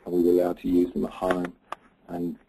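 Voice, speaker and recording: A man's voice: low-pitched, completely flat delivery, fairly quick, noisy background. A man speaking in an interview about learning new systems at work. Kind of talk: interview testimony.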